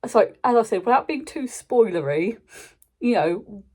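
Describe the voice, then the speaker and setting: A woman's voice: a little high, animated, moderate pace, warm tone. A British woman chatting casually and unscripted as a channel host giving a review, in an informal, rambling way, often interrupting herself.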